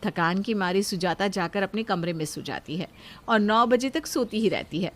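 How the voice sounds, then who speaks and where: A woman's voice: mid-range voice, moderate pace. Female narrator for a scripted moral story, mid-range voice.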